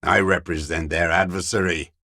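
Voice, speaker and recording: An actor playing a bitter, haunted old communist deserter in a video game, declaiming ideology in a raspy, worn voice. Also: male voice, steady tone, sharp tone, no echo, clean studio sound, full character voice. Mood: bitter, accusing. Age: older. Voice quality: gravelly, raspy, worn low voice. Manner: slow, haunted, ideological declaiming with accusatory edge. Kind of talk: dramatic video game voice acting.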